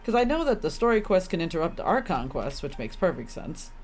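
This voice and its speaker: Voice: mid-range voice, big pitch swings, a little fast, slight background noise. A game streamer giving casual, grumbling commentary with offhand swearing while playing. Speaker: livestreamer playing a video game.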